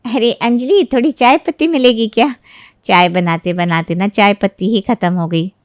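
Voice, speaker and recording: A woman's voice: mid-range voice, moderate pace, dry recording, clean studio sound, natural voice. A woman playing a jealous young married neighbour, falsely sweet and sly, in a dramatized moral story. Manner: sly, insinuating, mock-hesitant.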